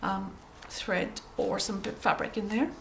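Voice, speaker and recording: A woman with a cold, sounding a bit croaky, chatting gently through a show-and-tell of her handmade journal with frequent ums and throat clearing. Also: medium pitch, a little slow, a little hiss or hum. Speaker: craft journal maker showing her work.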